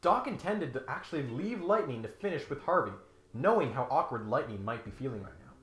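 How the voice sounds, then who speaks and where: A man's voice: mid-range voice, sing-song, fairly quick, a bit bright. A man hosting a comedic critique, narrating from a script in a mock-serious way with exasperated, sardonic asides. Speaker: host of a comedic critique video. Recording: roomy sound with faint music behind. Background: faint music.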